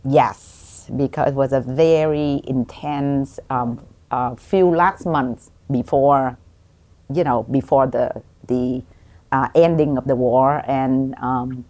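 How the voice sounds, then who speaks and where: Podcast guest: middle-aged woman, low register, Vietnamese accent, halting personal storytelling. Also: fairly even intonation, mellow. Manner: halting, with many pauses. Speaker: guest, doctor.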